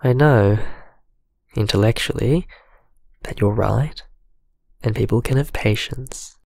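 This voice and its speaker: Man acting a troubled, frayed man, hesitant, self-doubting, gravelly creak, dry sound, audio drama voicemail. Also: mid-range voice, takes it slowly, a little mellow, dry recording. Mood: troubled, unsure.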